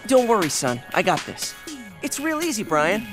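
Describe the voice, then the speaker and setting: Earnest young penguin dad, lively pitch. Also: male voice, normal speed, loud music behind the voice. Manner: earnest, encouraging. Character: caring, anxious young penguin father.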